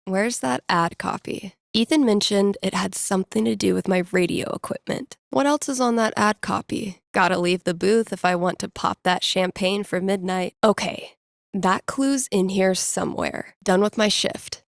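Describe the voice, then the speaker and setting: A woman's voice: medium pitch, normal speed, vocal fry, no echo, close to an everyday voice. A woman playing a wry, playful young American radio DJ in a video game, speaking casually with dry, sardonic, self-deprecating asides. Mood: wry, upbeat. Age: young adult.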